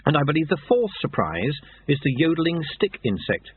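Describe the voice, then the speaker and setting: A man's voice: a little high, sing-song, fairly quick, slight background noise, pitched above the performer's own voice. A man voicing an anxious, hasty young male novice monk who speaks in a nervous, eager way. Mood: earnest. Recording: tinny phone-like sound.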